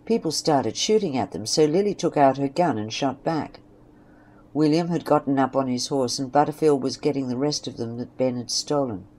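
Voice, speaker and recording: A woman's voice: rumbling low, normal speed, warm tone. A woman narrating a scripted western story. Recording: faint background noise and ambience.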